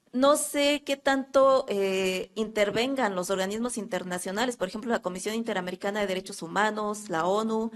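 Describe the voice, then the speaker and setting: A woman with a Mexican accent asking a long question from the floor at a public talk, earnest and hesitant, with many fillers. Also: mid-range voice. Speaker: audience member asking a question.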